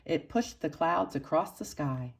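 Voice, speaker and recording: A woman reading a children's picture book aloud expressively, with dramatic emphasis and pauses for young listeners. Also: low-pitched, lively intonation, gravelly. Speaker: storybook reader for children's church.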